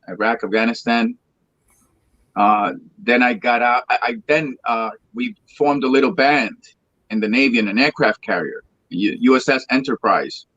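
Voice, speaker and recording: A man's voice: on the low side, a bit bright, slightly rough, no echo, dull audio. A man in his thirties from Colombia, a Spanish speaker, telling his story in a rambling, restarting way as an interview guest. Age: 30s. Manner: rambling, repeats words and restarts often. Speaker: guest singer. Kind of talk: casual interview chat.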